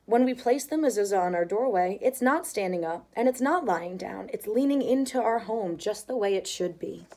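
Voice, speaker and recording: A woman reading a children's picture book aloud, voicing the characters' lines with lively expression. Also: mid-range voice, normal speed, hardly any pauses. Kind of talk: storybook read-aloud.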